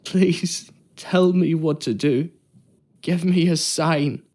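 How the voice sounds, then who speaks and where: A man's voice: fairly high pitch, steady tone, takes it slowly, darkish tone. An actor playing a troubled, earnest young ship's boy in a period drama game. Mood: pleading, despairing. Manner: pleading, hesitant, earnest. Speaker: actor in a narrative adventure game.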